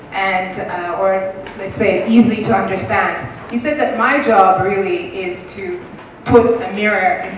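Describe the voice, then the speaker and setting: Woman giving a talk, thoughtful, lots of ums, far off mic in a big boomy room. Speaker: guest speaker. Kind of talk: public talk. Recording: echoey room from far off, noisy.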